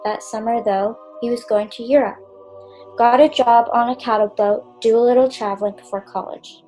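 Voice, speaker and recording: A matter-of-fact female angel narrator, played by a woman. She has a slightly high voice and an instructive storytelling manner in old radio-play style, with soft music behind. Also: steady tone, moderate pace, close to an everyday voice, rough recording. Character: matter-of-fact female senior angel telling a case history.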